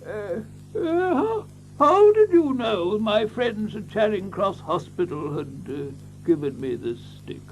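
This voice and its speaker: A man playing a fussy, excitable country doctor in a radio drama, stammering and repeating himself as he dithers. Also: high-pitched, slow, faint music behind, full character voice, low-quality recording. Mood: flustered, eager. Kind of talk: theatrical radio drama acting.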